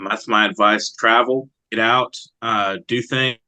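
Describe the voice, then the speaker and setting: A man speaking as an interviewed poet, in a reflective, halting conversational way. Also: fairly low pitch, fairly even intonation, sharp tone, dry recording. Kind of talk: conversational interview with brief poem reading.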